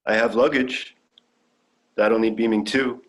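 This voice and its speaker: An eager, bookish young alien traveller played by a young man. His medium-pitched voice is earnest, polite and wondering, in the manner of a sci-fi audio drama. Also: steady tone, measured pace, close to an everyday voice. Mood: hopeful, sheepish. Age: young adult.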